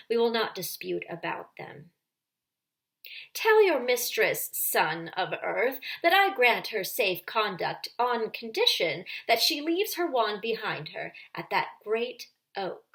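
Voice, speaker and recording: A woman voicing a grave, commanding male lion in a children's story, speaking in a solemn, measured way. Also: mid-range voice, very animated, fairly bright tone. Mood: grave, calm.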